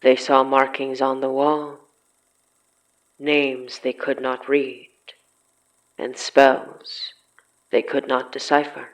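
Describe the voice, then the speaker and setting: A narrator delivering scripted horror fiction in an ominous, deliberate way, pausing between short phrases. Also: mid-range voice, level tone, rough recording.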